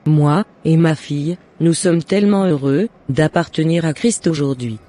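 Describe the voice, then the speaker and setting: Dark-voiced, earnest neighbour woman, played by a man. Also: fairly high pitch, moderate pace, close to an everyday voice. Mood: earnest, happy. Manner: earnest, formal. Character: contrite neighbour woman, newly converted mother.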